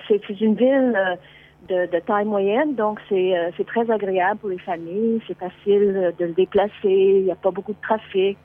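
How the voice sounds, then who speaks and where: Woman on a tinny phone line. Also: medium pitch. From Quebec, Canada. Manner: hesitant, many fillers and restarts. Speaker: caller. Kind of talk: casual phone chat.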